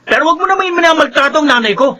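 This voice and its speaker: A high-pitched, bright-toned teenage boy in a radio drama. He is an earnest, resentful and protective son who speaks confrontationally. There is faint noise on the recording. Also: animated, very fast, heavily acted. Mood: angry, protective.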